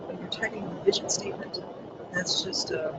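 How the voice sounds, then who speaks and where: A webinar presenter walking listeners through training materials, with a soft voice that does not carry, pausing often with ums. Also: medium pitch. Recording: loud background noise on a webinar mic.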